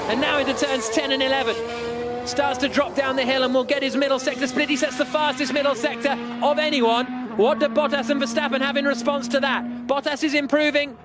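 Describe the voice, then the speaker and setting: High-pitched excited male motorsport commentator. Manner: excited, urgent play-by-play delivery. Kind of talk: live race commentary.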